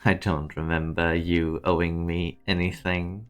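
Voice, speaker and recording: A man's voice: deep voice, flat delivery, measured pace, smooth, faint music behind. A man playing a curt lab colleague in an English fan dub.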